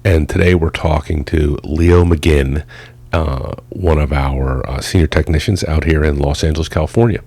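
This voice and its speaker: A man's voice: rumbling low, steady tone, normal speed, warm tone, husky. A man conducting a casual interview as a company boss, in an informal, chummy way. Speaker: company president interviewing an employee.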